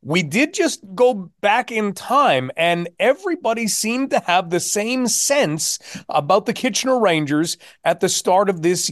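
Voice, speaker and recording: Higher-pitched male sports podcast host. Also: no room sound, clean studio sound. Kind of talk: hosted sports interview.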